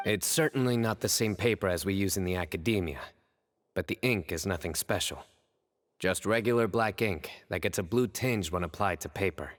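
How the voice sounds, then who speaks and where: An actor playing a stern, composed young male chief investigator in a video game, speaking in a measured, authoritative way. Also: low-pitched, no room sound, studio quality, natural voice. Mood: composed, analytical.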